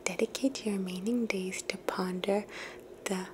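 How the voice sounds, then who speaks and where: A woman whispers softly and without voice, drifting through her thoughts in a slow, reflective way. She is hosting an ASMR video, and her voice sits at a middle pitch. A constant hiss runs underneath her words. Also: steady tone. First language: English. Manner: soft unvoiced whisper, musing and thoughtful. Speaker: ASMR host.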